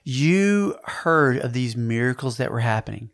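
A man's voice: medium pitch, expressive, unhurried, gravelly. A man hosting a faith interview with enthusiastic, preacher-like emphasis. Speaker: interview host.

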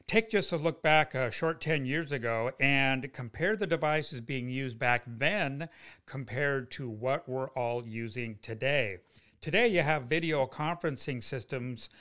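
Conversational male podcast host, mid-pitched voice. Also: a bit bright, clear, resonant tone. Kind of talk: semi-scripted solo tech podcast talk.

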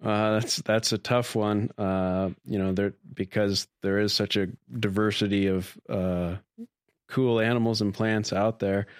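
A young man, a researcher being interviewed, explaining things informally in a hesitant, careful, measured way. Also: lowish voice, flat delivery, clear, resonant tone, no room sound, clean studio sound. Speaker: graduate student researcher, interview guest.

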